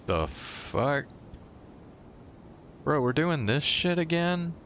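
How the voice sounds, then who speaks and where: A man's voice: sing-song, drawn-out words, a bit bright, muffled recording. A man giving blunt, slangy, casual commentary as he streams a game. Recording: faint noise and low rumble.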